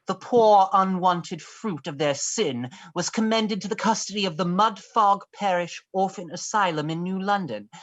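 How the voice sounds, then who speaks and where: A woman's voice: mid-range voice, a bit bright. Woman playing a bitter, scheming male heir. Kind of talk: dramatized stage play script reading.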